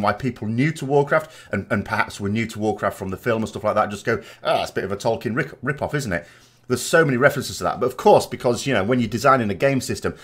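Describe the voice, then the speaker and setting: A man hosting a gaming channel in a casual, conversational podcast style, rambling and digressive, with emphatic, opinionated delivery. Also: medium pitch.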